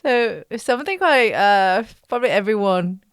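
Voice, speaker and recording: A woman from China with a Chinese accent, chatting in an interview and often pausing to search for words. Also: medium pitch, expressive, smooth, no echo, studio quality. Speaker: interview guest, actress and writer. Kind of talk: casual interview chat.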